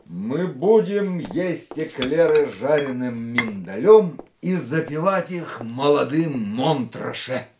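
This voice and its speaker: An actor playing a worldly, restrained, fatalistic lover in a radio play, speaking in a measured, wry way. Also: male voice, fairly high pitch, animated, reverberant, noisy background. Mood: wry, guarded.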